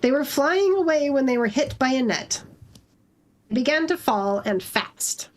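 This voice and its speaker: High-pitched, lively woman narrator. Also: measured pace. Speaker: narrator in a group fanfiction reading. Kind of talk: live story reading with casual asides.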